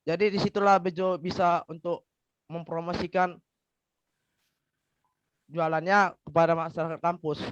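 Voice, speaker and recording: A man's voice: on the high side, little pitch movement, moderate pace, long pauses, smooth, no echo, lo-fi sound. A male student explaining his answer in a class debate over a video call, with a slight cough and many fillers. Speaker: student presenter.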